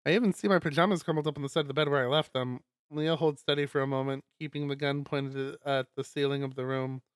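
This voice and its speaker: A man's voice: mid-range voice, steady tone, fast talker, clean tone, no room sound, studio quality. A man streaming a visual novel, reading first-person fiction aloud. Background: guitar music.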